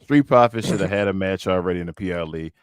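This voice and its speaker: A man's voice: deep voice, quick pace, clear, resonant tone, no echo, clean studio sound. A man co-hosting a casual panel chat, laid-back and rambling as he gives his opinions. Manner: laid-back, rambling, many fillers and restarts. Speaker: podcast co-host.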